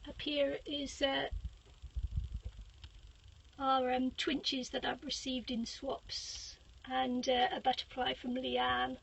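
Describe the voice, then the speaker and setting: An older woman with a squeaky voice giving a rambling, hesitant craft room tour from a small boat cabin, filming on a handheld phone. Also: completely flat delivery, slow pace, gravelly, a bit bright, breathy edge, poor audio. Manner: rambling, hesitant with many fillers, giggly. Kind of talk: casual live-stream tour.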